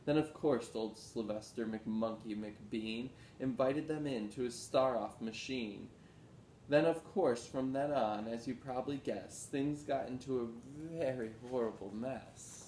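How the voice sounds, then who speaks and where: A man's voice: unhurried, mellow, recorded from a distance. Man reading a storybook aloud, echoey room. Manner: rhythmic, storytelling delivery. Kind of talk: expressive storybook read-aloud narration. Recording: roomy echo with background rumble noise.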